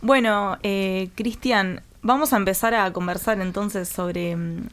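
A woman hosting a radio interview, asking questions at a measured, unhurried pace. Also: medium pitch. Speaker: radio host.

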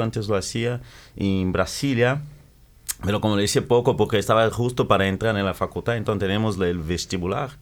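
A middle-aged man from the Democratic Republic of the Congo, giving interview answers and often stopping to correct his words. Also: fairly low pitch. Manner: halting, self-correcting, searching for words. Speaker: guest, capoeira practitioner.

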